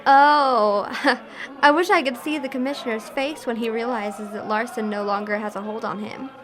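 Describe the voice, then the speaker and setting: A woman's voice: fairly high pitch, fairly even intonation, normal speed, natural voice. A woman playing a curious, amused young accomplice in a radio drama. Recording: crowd murmur behind the voice.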